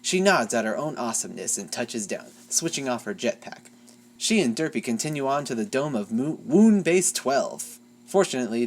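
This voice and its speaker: A fanfic reader narrating a comic story with playful, deadpan asides. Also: medium pitch.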